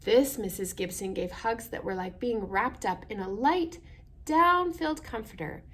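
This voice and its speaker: A woman hosting a children's story time, reading aloud and explaining gently in simple words, often putting questions to her young listeners. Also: on the high side, animated, normal speed.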